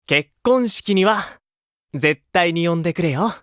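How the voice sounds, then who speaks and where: A man voicing a gentle, kindly older-brotherly idol with a romantic streak in an anime game, speaking softly. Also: high-pitched, animated, no echo, clean studio sound. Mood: warm, teasing. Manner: soft, kindly, unhurried.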